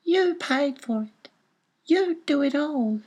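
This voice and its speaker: A man voicing a gentle, patient young boy who speaks softly and carefully. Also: very high voice, animated, slow pace, voice pushed up, exaggerated voice. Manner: soft, careful, encouraging.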